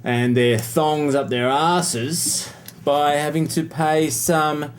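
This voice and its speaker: A man's voice: fairly high pitch, fairly even intonation, slow pace. A man co-hosting a tech show, bantering casually about the news in a jokey, slangy way. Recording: clearly noisy background.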